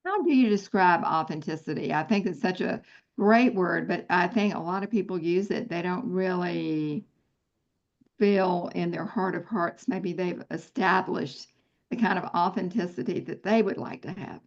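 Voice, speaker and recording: A woman interviewer asks long, supportive questions, circling with fillers before landing. Her voice sits fairly low. The recording sounds dull and lo-fi. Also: normal speed, vocal fry. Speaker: interview host.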